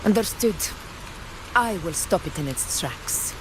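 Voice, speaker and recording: A woman voicing a resolute female leader in a video game, grave, measured and formal. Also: fairly low pitch, animated, noisy background.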